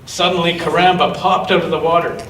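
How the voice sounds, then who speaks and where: A man reading a children's story aloud with expression, in measured phrases and with chatty asides to young listeners. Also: fairly high pitch, flat delivery, a bit bright, a little hiss or hum. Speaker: storyteller at a church gathering. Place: large indoor church room. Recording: roomy church recording, distant mic.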